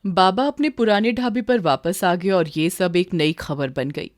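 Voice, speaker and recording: A woman's voice: on the low side, measured pace. A woman reading a scripted story as its narrator.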